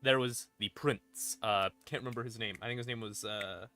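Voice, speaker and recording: A man's voice: on the low side, normal speed, pushed and bright. A man explaining lore on a stream in a casual, unscripted, irreverent way, swearing freely. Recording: background music under voice. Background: ambient music.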